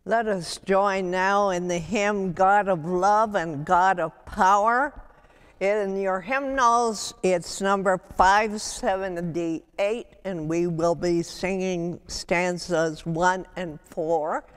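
An older woman leading a chapel service, speaking in a gentle, unhurried liturgical way on a lapel mic. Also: mid-range voice, smooth. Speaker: worship leader. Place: large chapel indoors. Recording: long chapel reverb.

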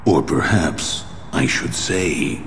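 An actor playing a grave, wistful ancient creator sage in a video game cutscene, speaking in measured, formal phrases. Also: male voice, very deep voice, lively intonation, a bit bright, heavily acted. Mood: philosophical, grave. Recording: long cavernous reverb, faint background noise.

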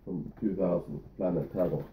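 A man's voice: deep voice, normal speed. A man hosting a fishing vlog, chatting casually as he shows his companion around and points things out. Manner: relaxed guide pointing things out. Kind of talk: casual chat touring and fishing. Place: indoors touring a themed venue, then out on a boat. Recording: echoey rooms with loud background noise.

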